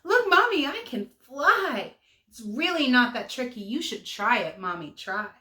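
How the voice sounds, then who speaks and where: A woman's voice: fairly high pitch, big pitch swings, measured pace, sharp tone, echoey room, exaggerated voice. A woman voicing an eager, childlike little boy penguin for a storybook read-aloud. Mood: elated.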